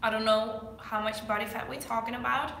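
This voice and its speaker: A woman's voice: fairly high pitch, steady tone, brisk, fairly bright tone, a little hiss or hum. A young woman from Denmark with a Danish accent, answering viewer questions as a fitness coach in a casual, chatty way with lots of fillers. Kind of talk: casual Q&A vlog. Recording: echoey room.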